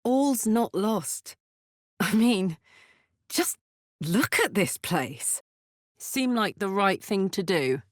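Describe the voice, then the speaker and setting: A woman's voice: medium pitch, animated, slow, pauses often, no room sound, studio quality. An actress voicing a brash, big-hearted young female warrior in a video game, blunt and swaggering, tough yet playful and emotionally open. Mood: curious, musing. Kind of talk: video game character voice lines.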